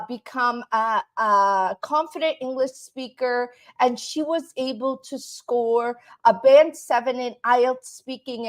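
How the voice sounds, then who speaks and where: Woman from Canada, high voice, unhurried delivery; teacher leading a live online class. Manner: repeats "right?" to check in with viewers. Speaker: English teacher.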